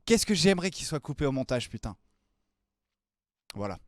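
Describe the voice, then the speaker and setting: A young man co-hosting a live film news show, teasing his partner and ranting in quick jabs. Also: on the high side, big pitch swings, no room sound, studio quality. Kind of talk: casual live-stream chat.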